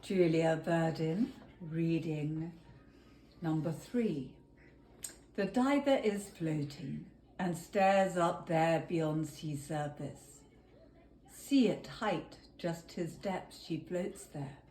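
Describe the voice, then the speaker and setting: A woman's voice: sits low, takes it slowly, mellow. A woman reading a poem aloud, line by line. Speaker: family member reading a poem. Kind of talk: poetry reading. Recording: echoey room, faint noise.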